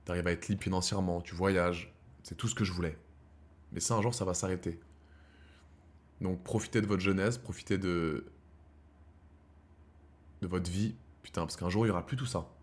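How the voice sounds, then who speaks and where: A man's voice: deep voice, steady tone, normal speed, long pauses. Slangy thirty-something man chatting on stream. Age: 30s. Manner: slangy, rambling, addresses viewers as brothers; swings from heated rants to quiet musing. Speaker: streamer host. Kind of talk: casual live-stream chat to viewers.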